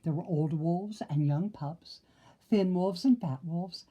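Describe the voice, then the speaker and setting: A woman reading a children's story aloud in an expressive narrating style. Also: on the low side, takes it slowly. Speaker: storybook reader.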